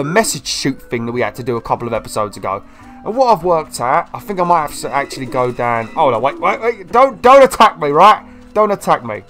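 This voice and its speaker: Chatty man, sniffly let's-play. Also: fairly high pitch. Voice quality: chatty, excitable, sniffly from a cold. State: slightly ill, sniffly. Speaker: gameplay video host. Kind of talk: casual let's-play commentary.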